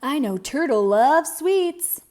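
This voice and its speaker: A friendly young male cat from a picture book, voiced by a woman. He sounds earnest and animated, with a high, lively pitch and an unhurried pace.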